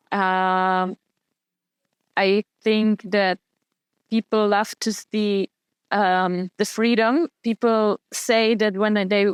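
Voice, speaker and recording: A young woman answers interview questions in a smooth, clear voice recorded dry and close. She talks at an average pitch and often stops to restart her sentences. Her delivery is hesitant and full of fillers. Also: dry recording. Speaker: guest, wing foil and kiteboard athlete.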